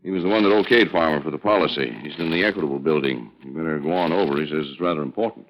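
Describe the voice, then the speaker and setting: A businesslike office manager speaks in a very deep, matter-of-fact voice. He sounds like he is in an old radio play, heard through a dull broadcast with faint noise behind him. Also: rapid-fire. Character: brisk male insurance office manager. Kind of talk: 1950s radio drama acting.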